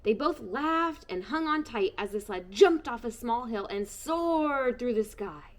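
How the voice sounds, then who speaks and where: A woman reading a children's storybook aloud, expressive and shifting between hushed and bright tones. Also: fairly high pitch, measured pace. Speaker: storybook reader. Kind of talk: children's book read-aloud.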